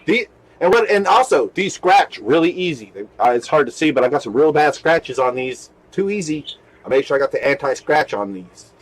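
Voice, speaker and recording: Rambling higher-voiced man of forty. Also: animated, brisk, rough recording. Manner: rambling, repeats himself. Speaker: video host. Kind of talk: casual rambling chat.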